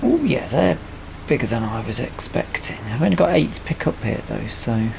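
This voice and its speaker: Male British gaming host, very creaky voice, casual thinking-aloud commentary, noticeably noisy recording. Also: medium pitch, normal speed. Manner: thinking aloud, asking himself questions. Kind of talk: casual let's play commentary. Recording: clear steady background noise.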